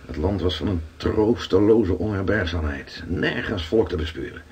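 An actor playing a weathered seafarer in a radio drama, telling his adventures slowly and with awe in a rough voice. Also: sits low, lively intonation, a little mellow, full character voice. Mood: awed, reflective. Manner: slow, dramatic storytelling. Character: weathered Dutch seafarer Gerrit, awed storyteller, around 1600.

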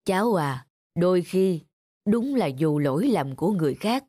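An actor playing a kindly, wise old man who gives gentle, reflective advice in an animated drama. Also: high voice, normal speed, no echo, clean studio sound. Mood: reflective, advising. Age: older.

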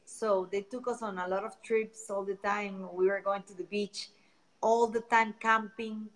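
A woman's voice: medium pitch, little pitch movement, a little slow, a bit bright, poor audio. Woman with a Costa Rican Spanish accent. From Costa Rica. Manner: friendly, rambling, repeats words while searching for them. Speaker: guest, founder of a beach cleanup project. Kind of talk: casual interview answers.

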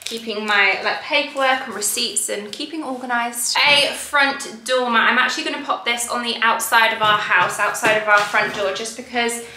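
A woman's voice: a little high, fairly even intonation, normal speed, hardly any pauses, sharp tone, slight background noise. Chatty young woman in an echoey room. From England. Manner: chatty and upbeat, listing items one by one. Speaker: lifestyle vlogger. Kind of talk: sponsored haul vlog, talking to camera. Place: large bare room at home, indoors.

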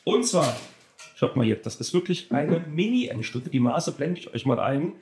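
A man's voice: on the high side, sing-song, normal speed, darkish tone, echoey room, a little hiss or hum. A man presenting a car audio amplifier in a casual review, talking with enthusiasm and repeating words for emphasis.

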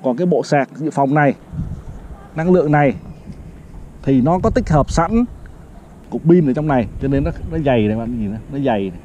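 A man's voice: mid-range voice, fairly quick, pauses often, dark tone, slight background noise. A middle-aged man on a city rooftop with a lapel mic, chatty, walks through a product review step by step with frequent fillers. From Vietnam. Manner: chatty, explains step by step, fills with "đó" and "vâng". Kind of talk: casual product review vlog. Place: rooftop terrace outdoors in a city. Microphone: clip-on lapel mic. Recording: slightly dull lo-fi outdoor sound.

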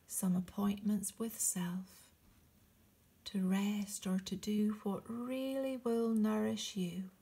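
A woman speaks as a meditation guide at a very slow pace. Her voice is soft and breathy, often slipping close to a whisper. The delivery is gentle and soothing, with long pauses between short, encouraging phrases. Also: medium pitch.

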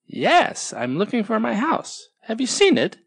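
A narrator voicing a small, anxious, earnest male tortoise in a high voice for a storybook. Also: huge pitch swings, a little slow, creaky, slightly rough, no echo, clean studio sound, full character voice. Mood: hopeful searching. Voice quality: high-pitched, squeaky.

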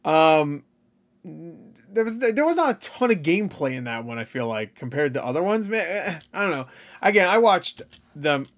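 A man's voice: fairly high pitch, very animated, moderate pace, fairly bright tone. A man in his thirties giving off-the-cuff reaction commentary, rambling and joking with crude slang. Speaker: reaction video host. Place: small indoor room at home.

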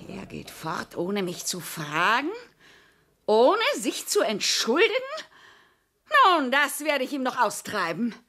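Evil stepmother queen, haughty and commanding, deliberate, forceful tone, radio play. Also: fairly high pitch, very animated, exaggerated voice. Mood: haughty, indignant. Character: imperious, scornful wicked stepmother queen. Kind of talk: German radio drama acting.

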